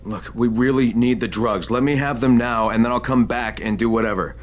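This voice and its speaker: A man's voice: medium pitch, fairly quick, lightly acted. A man playing a blunt, exasperated undercover tough guy in a video game, casual and profane in manner. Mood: impatient. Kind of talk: video game cutscene acting.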